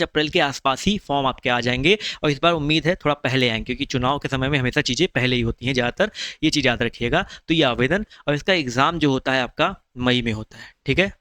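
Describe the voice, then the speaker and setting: A man's voice: on the high side, fairly bright tone, clear, resonant tone, no room sound. A man, explaining exam preparation in a chatty, reassuring video lesson and calling viewers brother. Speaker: exam-prep teacher.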